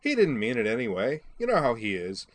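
A brash young woman pilot, tipsy and mocking, as a male narrator puts her on. The voice jumps up high and loud, full of theatrical exclamation and slangy swagger, in a storybook telling. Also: wildly swooping pitch, fairly quick. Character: drunken, brash, boisterous young woman pilot, prone to mockery.

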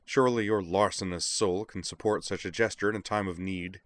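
A man voicing an imperious, sharp-tongued woman in an audiobook, with a haughty, formal, snapping manner. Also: low voice, steady tone, brisk. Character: imperious, scornful, sharp-tongued woman who despises Earthmen.

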